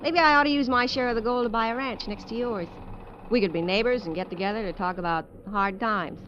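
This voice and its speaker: A woman's voice: a little high, fairly quick, a bit bright, slight background noise, close to an everyday voice. Actress as a weary castaway woman, old radio drama. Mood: uneasy, confiding. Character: weary, candid female dancer adrift on a derelict ship. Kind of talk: 1950s radio drama acting and first-person narration. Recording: dull vintage radio, music behind.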